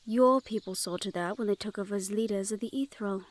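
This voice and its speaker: A woman's voice: mid-range voice, a little fast, natural voice. A woman playing a wary, teasing Fae border guard in a fantasy audio roleplay, with a playful, sardonic and haughty manner. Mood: wry, aloof.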